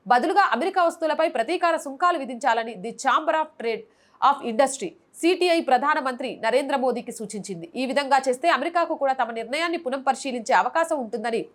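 A woman's voice: high-pitched, lively intonation, fast talker, fairly bright tone. A woman journalist reading scripted news narration as a presenter.

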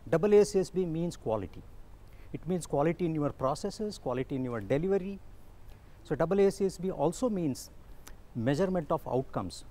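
A man's voice: on the high side, lively intonation, brisk, warm tone. A man in his fifties, an institute director, explaining things confidently in an interview outdoors on a lapel mic. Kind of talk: interview answers. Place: outdoors on campus stairs.

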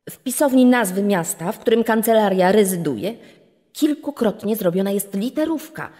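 An actress playing a wary client who gives measured, formal testimony in a true-crime podcast dramatization. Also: female voice, medium pitch, animated, mellow, close to an everyday voice. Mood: measured, concerned. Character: wary female client who suspects she was swindled. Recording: long reverb, music underneath.

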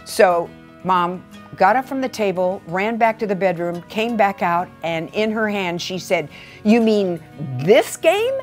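A woman's voice: mid-range voice, measured pace, faint music behind. An American woman in her sixties telling a personal anecdote in an interview, acting out the dialogue. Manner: animated storyteller, quoting dialogue. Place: furnished living room. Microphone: lapel microphone.

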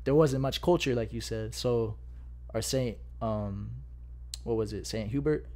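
Young male podcast host, medium-pitched, easygoing slangy chat, close-miked. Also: unhurried, darkish tone. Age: young adult. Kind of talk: casual chat. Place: small living-room podcast set. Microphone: close studio mic with headset monitoring.

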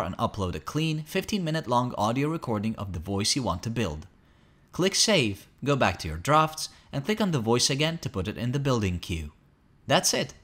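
A man reading a scripted promotional software tutorial in an upbeat, instructional manner. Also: mid-range voice. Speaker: tutorial narrator.

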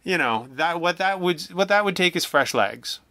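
A man in middle age chats casually in a bright, smooth voice. He circles his thoughts with hesitant, rambling phrasing. The sound is dry and close. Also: a little high, expressive, normal speed, dry recording. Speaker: audio drama creator, interview guest. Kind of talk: casual podcast conversation.

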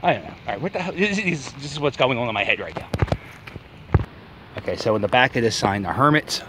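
A man's voice: medium pitch, expressive, a little fast. Guy from philly rambling nervously into his phone on a walk in the woods, voice all over the place, a little background hiss. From Philadelphia. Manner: anxious rambling self-talk, jokey. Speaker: vlogger. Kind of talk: walking selfie vlog. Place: outdoors in wooded park. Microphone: phone held at arm's length.

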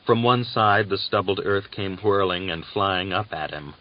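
A man narrating a novel as an audiobook, with a measured, deliberate and dramatic literary delivery. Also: low-pitched. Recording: dull, lo-fi audiobook recording.

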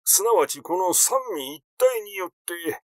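A man's voice: high-pitched, expressive, measured pace, no room sound, clean studio sound, voice pushed up. A man voicing an aging master swordsman in an audiobook, vain yet meekly pleading, with stiffly polite, old-fashioned speech. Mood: earnest, defensive. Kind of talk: audiobook character reading.

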